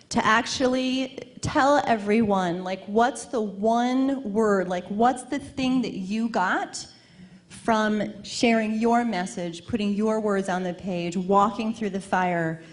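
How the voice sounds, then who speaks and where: A woman hosting an event live on stage, speaking off the cuff into a microphone. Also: mid-range voice. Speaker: awards event host on stage.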